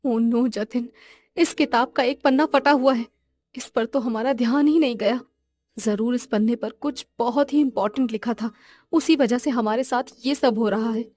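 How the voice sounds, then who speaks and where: Woman playing a worried, high-voiced young girlfriend. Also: normal speed, a little mellow, close to an everyday voice. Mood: alarmed, urgent. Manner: urgent, insistent.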